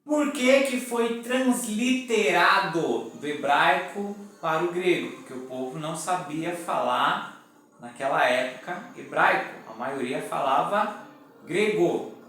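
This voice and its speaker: Brazilian male preacher, high voice, unhurried teaching delivery; far from the mic in a very echoey room. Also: expressive, a bit bright. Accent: Brazilian Portuguese. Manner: deliberate, spells out letters one by one. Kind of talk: sermon teaching. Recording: echoey room, mic at a distance, faint music.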